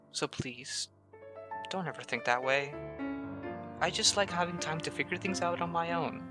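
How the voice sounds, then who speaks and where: A performer playing a troubled, withdrawn but tender young male lover in an audio drama, halting and soft-spoken, with music behind. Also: medium pitch, natural voice.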